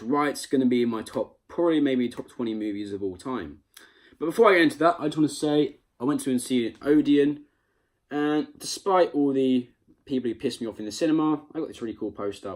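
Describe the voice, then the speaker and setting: A man's voice: mid-range voice, darkish tone. Young London man, emphatic and opinionated. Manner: emphatic, opinionated, casually sweary. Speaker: amateur film reviewer. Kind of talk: casual vlog rant and review.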